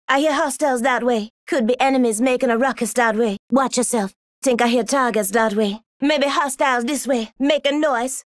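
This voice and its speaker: A woman performs a tough, alert soldier in a video game. The voice is high and the commands are terse, with a very dry studio sound. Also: no room sound, close to an everyday voice.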